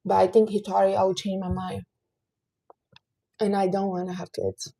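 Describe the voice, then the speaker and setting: Woman, late 30s, livestream host, earnest advice-giver, relaxed tone with breathy texture. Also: medium pitch, moderate pace, rough recording. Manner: chatty and earnest, giving life advice to viewers. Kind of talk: casual livestream chat.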